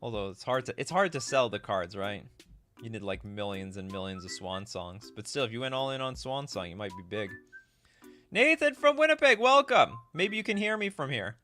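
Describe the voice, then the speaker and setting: A man hosting a casual live stream, reading aloud and thinking out loud with frequent exclamations. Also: medium pitch, sing-song, moderate pace, faint music behind. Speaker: host of a card game live stream.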